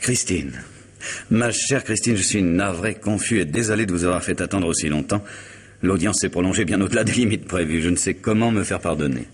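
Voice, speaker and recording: An actor playing a guarded, formal lawyer in a French radio mystery, polite and reserved. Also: male voice, low voice, fairly quick, husky. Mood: courteous, concerned. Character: guarded, formal male lawyer, urbane and terse.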